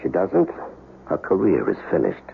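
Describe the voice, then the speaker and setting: An actor playing a wary, shrewd small-time crook on the run, terse and guarded, in an old-time radio crime drama. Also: male voice, low-pitched, wildly swooping pitch, moderate pace, creaky, heavily acted. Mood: shrewd, probing. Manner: terse, guarded, tough-talking. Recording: muffled, hissy old radio recording.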